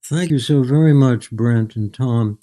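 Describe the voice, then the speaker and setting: An older man speaking as a guest author in a reflective literary interview, measured, thoughtful and articulate. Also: medium pitch, mellow.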